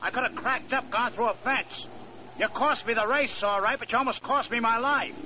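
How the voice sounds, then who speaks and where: An actor playing a suspicious, hard-edged race car driver in 1940s radio drama, curt and sardonic. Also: male voice, very high-pitched, normal speed, noisy background. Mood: accusing, menacing.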